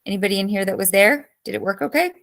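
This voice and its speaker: A woman teacher in her thirties runs a live online review session, her voice cracking and going hoarse. She chats easily, greets students one by one and answers their messages as they come in. Her pitch sits in a middle range for a woman. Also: racing, fairly bright tone. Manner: chatty, greets students by name and reacts to chat.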